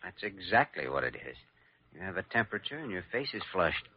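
An actor playing an earnest, reserved younger hospital doctor in a vintage radio drama, speaking in a measured, formal and courteous way. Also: male voice, low voice, very animated, breathy edge, full character voice. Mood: calm, attentive. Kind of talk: 1940s radio drama acting. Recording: muffled, noisy vintage radio recording.

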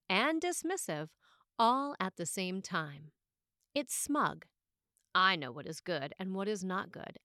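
Female priest, medium pitch, scripted sermon read for podcast. Measured, expressive, clearly phrased; dry, close recording. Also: dry recording.

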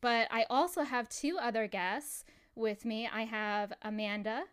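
A woman's voice: a little high, unhurried, clean tone. A woman in her thirties hosting her own podcast in casual chat. She is upbeat and chatty, laughs a lot, and jokes with her guests. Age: 30s. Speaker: podcast host.

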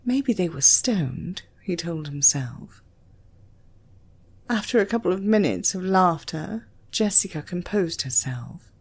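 British female audiobook narrator, unhurried, animated intonation. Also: fairly low pitch, breathy edge. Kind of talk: expressive scripted story narration.

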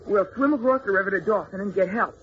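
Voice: medium pitch, quick pace, close to an everyday voice. An actor playing a brave, eager twelve-year-old village boy in an old radio serial, earnest and determined, on a muffled noisy recording. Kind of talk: 1940s radio serial acting.

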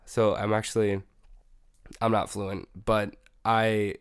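A man's voice: fairly low pitch, flat delivery, slow pace, clean tone, dry recording, clean studio sound. A young man, a music producer, chatting casually as an interview guest with a laid-back manner and many fillers. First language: Spanish.

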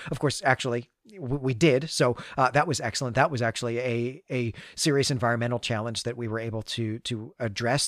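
A man's voice: medium pitch, a bit bright, no room sound, studio quality. A man co-hosting a podcast, recapping and discussing a story in a thoughtful, analytical, conversational way. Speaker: podcast co-host.

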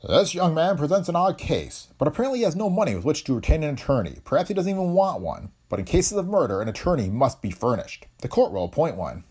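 Man playing an older male judge, stern, formal, measured, raised and lively pitch, husky.

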